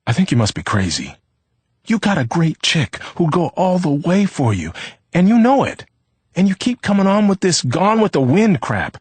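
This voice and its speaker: A husky-voiced man playing an exasperated young man who coaxes and urges in a storybook reading. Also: fairly low pitch, very animated, moderate pace, no echo, pitched above the performer's own voice. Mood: pleading. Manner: urgent, coaxing. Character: exasperated young man trying to keep calm.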